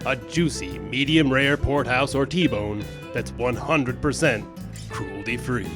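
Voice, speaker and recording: Actor for friendly male Western radio pitchman, medium pitch, spoof-commercial delivery, prominent guitar backing. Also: slow pace. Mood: folksy, selling. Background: plucked guitar music.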